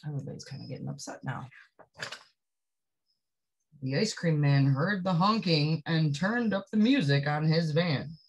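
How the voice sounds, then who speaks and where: A woman reading a picture book aloud to young children, expressively, with drawn-out phrases and chatty asides that put questions to the listener. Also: low voice, lively intonation, breathy edge, rough recording. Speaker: storybook reader.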